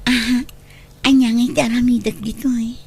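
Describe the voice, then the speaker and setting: A woman with an Ilocano accent playing a sweet, practical young girlfriend in a radio drama, with a soft, breathy voice. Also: fairly high pitch, little pitch movement, normal speed, exaggerated voice. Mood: shy, practical.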